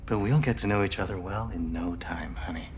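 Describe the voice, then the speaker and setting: A man playing an intimate, enigmatic pleasure companion in a video game, speaking in a soft, probing way. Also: sits low, fairly quick, slight background noise, close to an everyday voice. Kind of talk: scripted video game acting. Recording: faint music behind.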